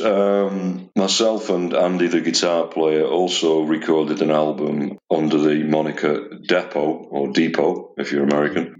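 Very deep-voiced man in an echoey room. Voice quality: deep, slightly gravelly voice. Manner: modest, reflective, with many uh and restarts. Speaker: blues musician guest. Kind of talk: interview answers.

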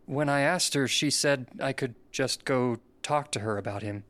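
A man playing an uncertain, deferential man in an audio drama, speaking in a hesitant, halting way as he seeks answers. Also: medium pitch, fairly bright tone, close to an everyday voice. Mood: hesitant, conflicted. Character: uncertain, deferential man seeking answers about his father.

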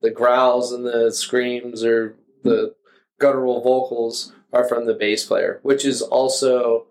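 A man reviewing music on a podcast, chatting casually and explaining things in measured wording. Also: medium pitch, flat delivery, a little mellow.

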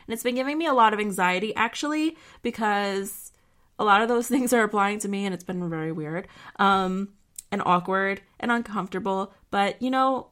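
A woman's voice: medium pitch, moderate pace, a bit bright. A young woman hosting her own podcast, chatting casually and rambling with lots of fillers, her voice nasal and congested from sinus trouble. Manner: chatty and rambling, many fillers and self-corrections. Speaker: podcast host. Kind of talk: casual solo podcast chat.

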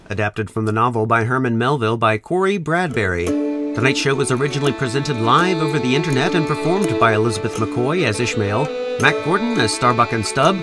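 A man with a middle-pitched voice speaks as a show's director and host. He announces and interviews, with clear plucked-string music in the background.

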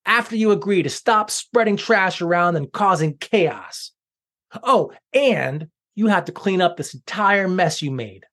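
A man voicing a spirited young girl who talks eagerly and quickly in a storybook tale. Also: high-pitched, lively intonation, fairly bright tone, voice pushed up, heavily acted. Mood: confident.

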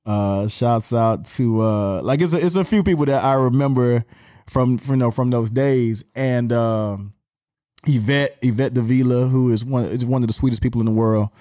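A middle-aged man with an Atlanta Southern accent, a podcast guest telling stories in an animated, slangy way. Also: medium pitch, moderate pace, no room sound, clean studio sound. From Atlanta, USA. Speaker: podcast guest, music marketer. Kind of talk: casual storytelling chat.

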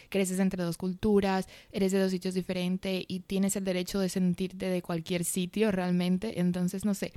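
A woman's voice: mid-range voice, dark tone, dry recording. A woman in her early twenties with a mixed Madrid and Dominican accent, talking casually and reflectively as a podcast host, with the odd English word. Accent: mixed Madrid and Dominican Spanish. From Madrid, Spain, with Dominican parents. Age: early 20s. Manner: chatty and reflective, drops in occasional English words. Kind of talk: casual personal monologue.

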